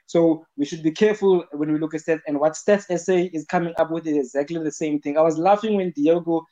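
A man's voice: fairly high pitch, fairly quick, warm tone. A man speaking in a live audio chat room, earnestly explaining a point and repeating words as he builds his argument. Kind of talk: casual spoken argument. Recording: dull lo-fi online voice chat.

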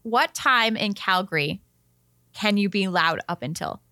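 A woman's voice: medium pitch, lively intonation, measured pace, bright, forceful tone, no echo. A woman with a Canadian accent chatting casually as a show co-host, often putting questions to her partner. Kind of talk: casual chat.

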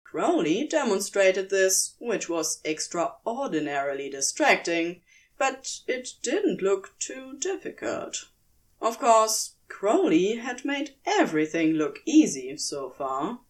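Slow, expressive woman narrating fiction. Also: medium pitch.

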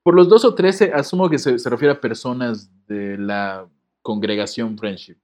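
Man, podcast co-host, casual, jokey asides, medium pitch. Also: normal speed, darkish tone. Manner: joking, laughs often, reads with comic asides. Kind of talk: casual podcast chat, reading a letter aloud.

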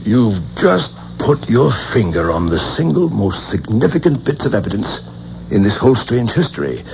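An actor playing a composed, learned expert on reincarnation in a 1970s radio drama, explaining things in a measured, patronizing, professorial way. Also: male voice, lowish voice, very animated, gravelly, darkish tone. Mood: intrigued. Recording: muffled, noisy 1970s radio broadcast.

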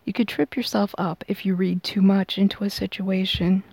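A woman hosts a tarot reading in a gravelly, mellow voice at a middling pitch. She explains things in measured, unscripted phrases. The sound is close and dry, with very little room to it. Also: little pitch movement, no room sound, low-quality recording. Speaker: tarot reader and podcast host.

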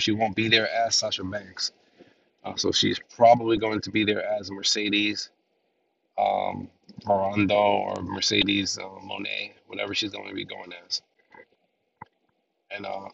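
A man's voice: lowish voice, gravelly, fairly bright tone, far from the mic, a little hiss or hum. A man hosting a podcast alone, rambling casually with lots of filler words, his voice nasal from a lingering cold. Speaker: podcast host. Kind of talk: casual solo podcast talk. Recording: dull lo-fi, a bit off-mic.

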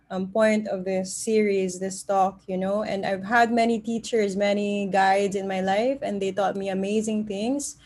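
A woman hosting an interview series, giving chatty introductions and asking her guest questions. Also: medium pitch. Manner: warm, chatty introductions with frequent laughs. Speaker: interview host.

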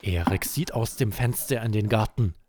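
A man hosting a children's podcast, narrating a scripted bedtime story in an expressive, deliberate way. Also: fairly low pitch.

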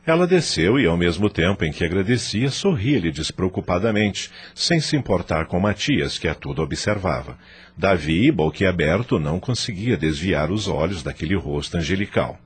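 Deep expressive male narrator. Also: moderate pace, slightly rough. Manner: expressive storytelling. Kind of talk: scripted radio drama narration.

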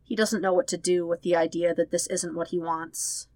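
A woman's voice: lowish voice, level tone, moderate pace, background noise. A woman reading fan fiction aloud as a scripted story narration. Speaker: podfic narrator.

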